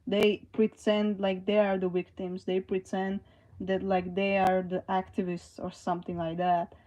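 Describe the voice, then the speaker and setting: A young woman livestreaming, reading chat messages aloud and answering them hesitantly, with many pauses and fillers. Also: mid-range voice, warm tone.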